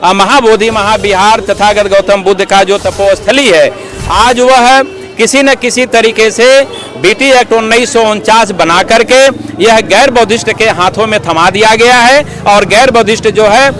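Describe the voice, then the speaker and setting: A man's voice: high-pitched, normal speed, fairly bright tone, poor audio. A man being interviewed as an activist, speaking formally and earnestly as he argues his case at length, with habitual filler phrases. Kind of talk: outdoor street interview, explanatory speech.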